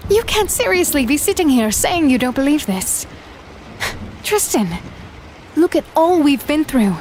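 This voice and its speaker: A woman playing an earnest, insistent heroine in a dark fantasy audio drama. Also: sits high, sing-song, measured pace, a little hiss or hum, low-quality recording. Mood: exasperated. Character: earnest, sharp-minded heroine, companion to a skeptic.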